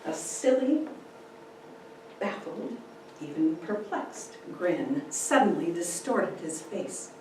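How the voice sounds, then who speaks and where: The room echoes heavily around a woman narrating a story on stage-like terms. She reads slowly and dramatically, some way from the mic, with faint noise underneath. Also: fairly low pitch, expressive, darkish tone, recorded from a distance. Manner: deliberate, dramatic phrasing. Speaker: speaker giving a dramatic reading. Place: indoor room, speaking to a group.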